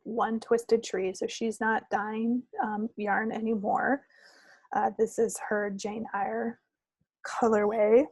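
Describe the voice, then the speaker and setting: A woman's voice: mid-range voice, darkish tone, dry recording. A woman chatting casually as co-host of a hobby podcast. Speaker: co-host of a knitting video podcast. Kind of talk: casual chat.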